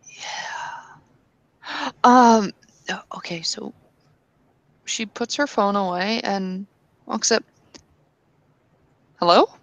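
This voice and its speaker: A woman narrating her character in a tabletop roleplay, hesitant with many pauses. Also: mid-range voice, no room sound, rough recording. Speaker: player.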